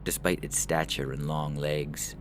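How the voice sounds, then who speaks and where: Narrator for dramatic fiction: very deep male voice, deliberate and measured, over prominent music. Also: little pitch movement. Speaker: story narrator.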